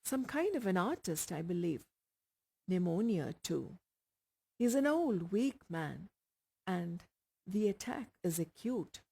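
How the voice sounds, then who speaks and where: A woman's voice: on the low side, sing-song, takes it slowly, creaky, long pauses, dark tone, slightly rough, exaggerated voice. A woman voicing a matter-of-fact male doctor in a storybook, clipped and clinical.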